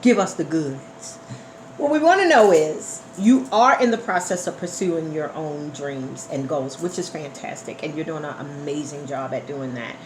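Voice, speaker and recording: A woman's voice: low voice, normal speed. A woman hosting a live radio interview chat in an effusive, encouraging way. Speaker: radio show host. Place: indoor room at a live online broadcast. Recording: roomy live sound, faint noise.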